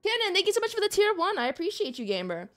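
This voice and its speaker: A woman streamer chatting casually and playfully about a video game, expressive and prone to giggling. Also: very high-pitched, racing. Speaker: streamer, host. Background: music.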